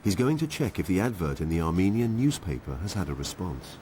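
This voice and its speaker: Deep-voiced male documentary narrator. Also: very animated, a little fast, mellow, a little hiss or hum. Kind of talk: scripted narration.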